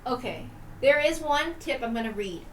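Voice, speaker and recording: A woman's voice: sits high, lively intonation, normal speed, slight background noise. A woman streamer reading a visual novel aloud, moving between narration and casual commentary. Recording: roomy echo, distant mic, music behind.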